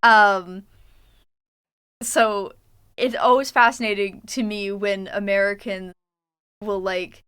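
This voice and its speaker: A woman's voice: mid-range voice, fairly even intonation, takes it slowly, pauses often, a bit bright, clear, resonant tone. A young adult Canadian woman streaming a game, chatting casually and reading her journal entries aloud. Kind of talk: casual livestream chat while gaming.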